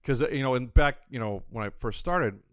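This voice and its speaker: A man's voice: mid-range voice, fairly quick, clear, resonant tone, no room sound, studio quality. A man talking casually as a comedian guest, telling anecdotes in colloquial phrases and acting out short quotes. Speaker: comedian and festival owner, podcast guest. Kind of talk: casual anecdotal interview chat.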